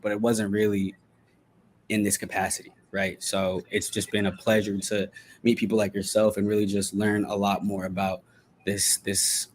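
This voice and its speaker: A man with an American accent and a fairly low voice talks as a guest in an interview. He explains his views earnestly and conversationally, like a journalist walking someone through what he has learned. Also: a little hiss or hum. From Delaware, United States.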